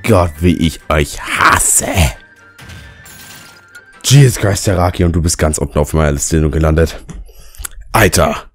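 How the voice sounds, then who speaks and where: A young man with a slightly hoarse, cold-worn voice, commentating a fighting game and ranting with lots of swearing. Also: low-pitched. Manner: swears a lot, rants and shouts at the game. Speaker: let's player. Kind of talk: gaming commentary. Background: game music.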